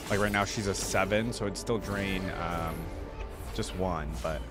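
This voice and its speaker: A man's voice: low-pitched, unhurried, over music. A man hosting a reaction video with casual, laid-back commentary, thinking aloud appreciatively as he goes.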